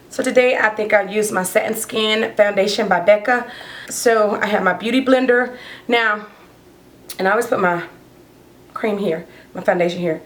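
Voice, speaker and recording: Chatty hoarse woman doing her makeup. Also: medium pitch, a little hiss or hum. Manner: chatty, rambling, talks while doing makeup. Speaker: beauty vlogger. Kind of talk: casual get-ready-with-me chat.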